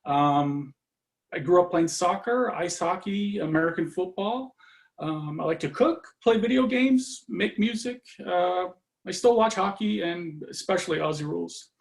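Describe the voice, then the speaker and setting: Casual: norway-born guy, kinda high gravelly voice, laid back, lots of ums, trails off. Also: measured pace. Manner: relaxed, lots of fillers and trailing off. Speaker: interview guest. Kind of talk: casual conversation.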